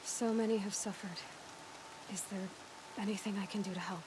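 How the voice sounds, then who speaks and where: Young woman huntress, acted, game dialogue, inquisitive, direct, restrained, determined, mid-pitched, noticeable rain and hiss behind. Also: steady tone, moderate pace, natural voice. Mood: subdued, concerned. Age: young adult. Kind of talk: video game dramatic dialogue.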